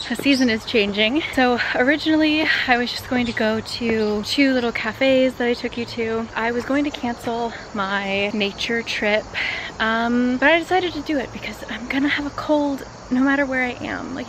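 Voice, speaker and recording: A young woman with a cold, hoarse and congested, talking quietly to a phone held at arm's length while walking a forest trail. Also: fairly high pitch, moderate pace, background noise. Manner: quiet, hushed and slightly hoarse. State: congested with a cold, voice partly lost. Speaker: vlogger. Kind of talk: casual vlog talk to camera.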